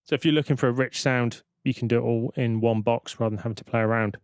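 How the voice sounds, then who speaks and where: A man giving an informal, step-by-step software demonstration as a tutorial presenter. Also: medium pitch, steady tone, fast talker, clear, resonant tone, no room sound, studio quality.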